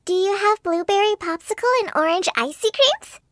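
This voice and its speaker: A woman voicing a naive five-year-old girl in a high, squeaky, innocent voice. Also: lively intonation, normal speed, fairly bright tone, dry recording, clean studio sound.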